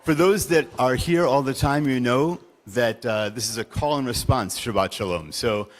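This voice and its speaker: A man's voice: mid-range voice, normal speed. A man leading a synagogue service, greeting the congregation with call-and-response welcomes. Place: large synagogue sanctuary. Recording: long hall reverb.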